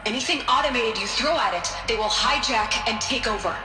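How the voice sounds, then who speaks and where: A woman with an American accent playing a brisk, blunt scientist in a game, matter-of-fact in delivery. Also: a little high, animated, sharp tone. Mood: impatient. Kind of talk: video game cutscene voice acting. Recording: echoey room, music behind.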